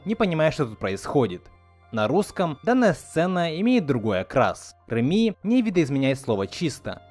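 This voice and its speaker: A man's voice: a little high, darkish tone. A man hosting a video series, reading a scripted video essay. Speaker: host of a video series on film dubbing.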